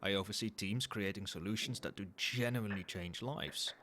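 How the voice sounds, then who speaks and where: A man playing a cold, professional company boss in an ASMR roleplay, speaking in a formal, measured, quietly demanding way. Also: lowish voice, slight background noise. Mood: firm, businesslike. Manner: formal, measured, businesslike, faintly suggestive. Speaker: voice actor in an audio roleplay. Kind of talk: ASMR roleplay, one-sided dialogue to the listener.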